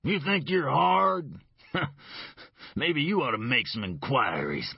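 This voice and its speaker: A belligerent middle-aged thug, voiced by a male narrator, snarling and cursing in a husky, raised voice that swoops high and hard. He mocks and threatens. The audio is dull and dry. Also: very animated, slow, dry recording, exaggerated voice. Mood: menacing. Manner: snarling, cursing, mocking laughs.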